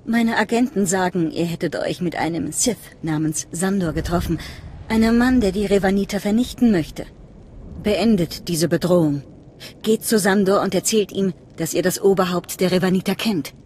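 An actress playing a secretive, fanatical cult leader in a game, speaking in a measured, earnest, conspiratorial way. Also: female voice, fairly low pitch, darkish tone, a little hiss or hum, lightly acted. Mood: urging, conspiratorial. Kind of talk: dramatic game voice acting.

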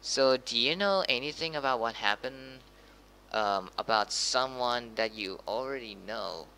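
Guy playing hesitant tv news anchor, bright voice, kinda stumbly and informal, bit of noise. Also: medium pitch, poor audio. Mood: questioning, hesitant. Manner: halting, informal, stumbling over words. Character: hesitant local TV news anchor, adult man. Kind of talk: amateur acted news interview.

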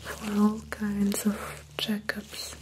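Slow, breathy soft-spoken young ASMR woman. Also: medium pitch, monotone, noisy background. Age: young adult. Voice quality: soft, breathy, often whispered. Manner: slow, gentle, soothing, with pauses. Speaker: ASMR performer playing a dermatologist. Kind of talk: soft-spoken ASMR medical role play. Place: small indoor room.

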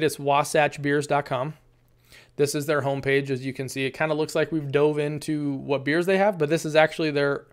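A man in his thirties talks casually to his camera about a beer, wandering off topic and filling his pauses with ums. His voice is smooth and clear and sits a little high for a man. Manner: relaxed and rambling, many ums and restarts. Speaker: beer review vlogger. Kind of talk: casual solo review. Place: small room, indoors, green screen. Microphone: desk camera, framed from the chest up.

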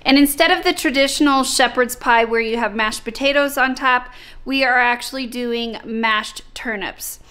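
A woman's voice: fairly high pitch, normal speed, pushed and bright. A woman in her thirties hosting a home cooking vlog, talking step by step through a recipe to a handheld camera in her kitchen. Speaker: vlog host. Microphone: handheld camera close to face.

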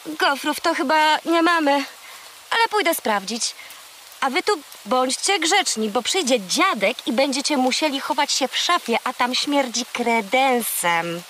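A woman voicing a kind, slightly flustered young girl in a children's audio play, hesitant and gently bossy. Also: high-pitched, animated, measured pace, a little hiss or hum. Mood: gently scolding.

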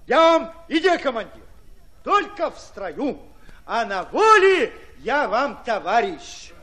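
An actor playing a fiery, folksy Red Army commander in a radio drama, with plain peasant speech delivered forcefully. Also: male voice, high-pitched, full character voice.

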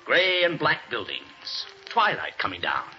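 An eager American husband. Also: male voice, medium pitch, measured pace, faint music behind, heavily acted. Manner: excitable, wide-swinging delivery. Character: young American diplomat husband, eager romantic. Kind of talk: 1940s radio acting. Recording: dull old 1940s radio broadcast.

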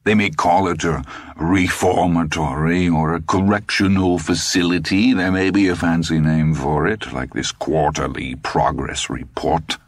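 A man voicing a sinister old man in his seventies who speaks slowly, measured and coldly patient. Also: deep voice, fairly even intonation, no room sound, studio quality. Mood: menacing. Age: 70s.

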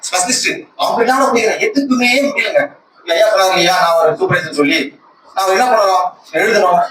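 High-voiced Tamil man testifying, echoey and noisy. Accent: Tamil Nadu. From Tamil Nadu, India. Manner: earnest, emphatic, repeats phrases for emphasis. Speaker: church member giving a testimony, lay speaker. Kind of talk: personal religious testimony to a congregation. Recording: echoey, distant conference call with loud noise.

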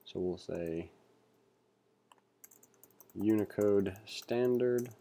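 A man giving a read-along tutorial as an instructor, reading aloud and adding informal asides as he thinks out loud. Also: on the low side, drawn-out words, creaky. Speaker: programming instructor. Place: indoors, screen-recording voice-over.

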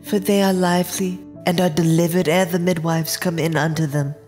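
A woman playing an earnest, composed woman of ancient times in a dramatized scripture reading, with measured delivery. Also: on the low side, fairly even intonation, darkish tone, soft background music, lightly acted.

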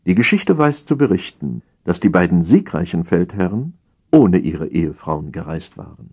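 A man with a husky voice reading satirical stories as an audiobook, in a theatrical, mock-solemn manner with deliberate phrasing. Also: low-pitched, sing-song, a little mellow. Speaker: audiobook narrator.

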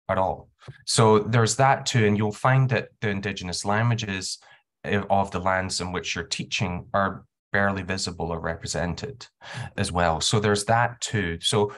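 A man in his thirties speaks on a video call from home, with a low, deep voice. He talks in an academic webinar style, lively as he acts out exchanges between teacher and students. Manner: animated, acts out classroom dialogue. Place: home room.

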